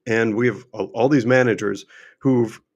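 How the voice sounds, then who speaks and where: A middle-aged man hosts a livestream and talks emphatically, asking question after question, and his voice is going hoarse. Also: mid-range voice, steady tone, normal speed, darkish tone, lo-fi sound. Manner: emphatic, repetitive, rhetorical questions. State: losing his voice. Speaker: host and finance adviser. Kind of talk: unscripted livestream monologue.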